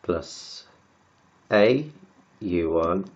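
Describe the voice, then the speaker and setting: A very low-pitched man with a cold, his voice croaky and sniffly as he talks his way through equations. He stops and repeats words while he writes, thinking aloud over a dull, lo-fi recording. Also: expressive, drawn-out words. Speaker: maths tutor. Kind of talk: working through textbook maths aloud.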